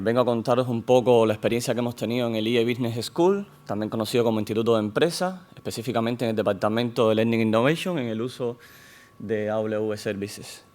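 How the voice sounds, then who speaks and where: A man's voice: medium pitch, a little mellow. Man w a foreign accent giving a tech talk, lots of ehs and restarts, mid voice. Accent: non-Spanish accent in Spanish. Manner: measured, with frequent hesitations and restarts. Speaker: conference speaker. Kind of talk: technical conference presentation. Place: conference hall.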